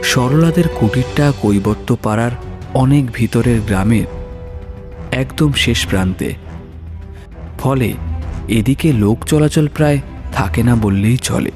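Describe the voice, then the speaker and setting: A man narrating a horror story in a dramatic, suspenseful way, with pauses for effect. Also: mid-range voice. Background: soft music.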